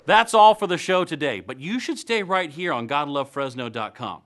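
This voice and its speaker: A man's voice: fairly high pitch, expressive, normal speed, a bit bright. An American man in his thirties presenting a scripted show to camera with wry, playful asides. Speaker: web series host. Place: indoor studio.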